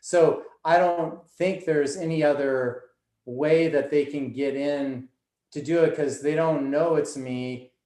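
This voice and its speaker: A man's voice: fairly high pitch, fairly even intonation, takes it slowly, mellow. Man, podcast guest, earnest and filler-heavy, echoey room. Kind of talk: casual conversation.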